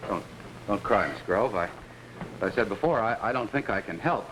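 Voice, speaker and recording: An actor playing a courteous, mildly skeptical and reluctant detective in early 1950s television drama. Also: male voice, lowish voice, lively intonation, moderate pace, close to an everyday voice. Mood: awkwardly polite. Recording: noisy 1950s television soundtrack.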